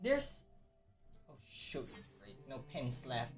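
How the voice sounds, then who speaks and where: A woman's voice: a bass voice, very animated, slow pace, recorded from a distance, music in the background. A woman with a Filipino accent doing casual live commentary as she plays a game, reacting aloud and switching between languages. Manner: reacts aloud, mixing English and Tagalog. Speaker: game streamer.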